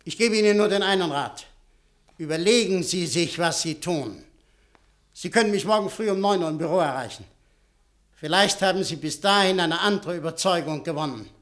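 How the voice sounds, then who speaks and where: An actor playing a stern, suspicious official in a radio drama, insistent and threatening in manner. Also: male voice, high-pitched, moderate pace, a bit bright. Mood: menacing. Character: stern, suspicious, menacing male official giving warnings.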